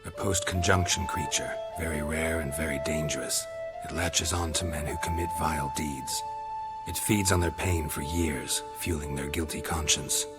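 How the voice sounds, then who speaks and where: Weathered monster slayer for a game: very deep, deadpan, matter-of-fact, loud score underneath. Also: male voice, normal speed. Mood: grave, explanatory. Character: stoic, weathered male monster hunter, methodical and world-weary. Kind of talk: video game cutscene acting. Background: loud music.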